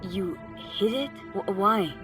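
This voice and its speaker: A woman plays a laid-back nomad companion from a video game, curious and casually teasing. Her delivery is dry, and loud music and underwater sounds run behind her. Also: mid-range voice, takes it slowly, lightly acted. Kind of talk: naturalistic video game acting.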